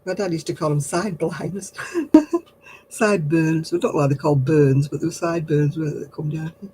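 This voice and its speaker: An older woman chatting casually on her craft livestream, answering viewers in a low, husky voice and a rambling, gossipy way. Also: expressive, moderate pace, warm tone, a little hiss or hum. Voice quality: low, husky voice after throat surgery.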